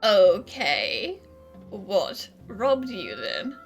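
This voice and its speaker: A woman's voice: mid-range voice, wildly swooping pitch, extremely slow, vocal fry, over music. A woman giving casual, wry playthrough commentary as a gaming streamer.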